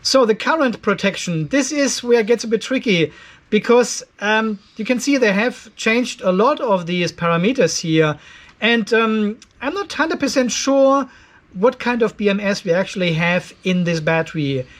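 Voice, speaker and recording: A man's voice: sits high, expressive, normal speed. A man in his fifties giving a casual, conversational explainer, going step by step and openly critical. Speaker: host of an off-grid solar channel. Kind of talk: casual explainer vlog to camera. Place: cluttered garage workshop.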